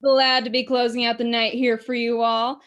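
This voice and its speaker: A woman's voice: a little high, level tone, normal speed, fairly bright tone, dull audio, natural voice. A woman playing a sardonic, gloating demon who delivers a theatrical monologue in a conversational, mocking way. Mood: mocking, smug. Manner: sardonic, gloating, conversational, given to chuckling asides. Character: gleeful, mocking female demon, a scheming devil monologist. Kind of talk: theatrical monologue addressed to the audience.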